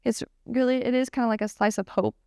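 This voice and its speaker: A woman's voice: fairly high pitch, fairly even intonation, quick pace, warm tone, clean tone, no echo, studio quality. A young woman of twenty-two talking casually as a patient guest, with many fillers. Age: 20s. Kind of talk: casual podcast conversation.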